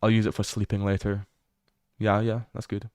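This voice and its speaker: A young British man livestreaming as he explores a game. He chats casually, swears freely and bursts into excited exclamations. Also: lowish voice, normal speed, clear, resonant tone, dry recording, studio quality.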